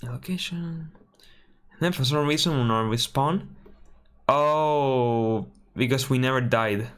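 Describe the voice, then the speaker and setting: A man from Spain with a Spanish accent, thinking aloud while building a game on a live stream and often correcting himself with a quick sorry. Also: mid-range voice, fairly bright tone, smooth. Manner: mutters through steps, apologizes and corrects himself often.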